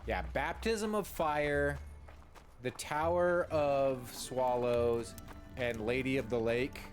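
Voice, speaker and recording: Slow-talking male streamer over game music. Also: mid-range voice. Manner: informal, halting, emphatic on key words. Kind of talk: casual rambling game stream chat.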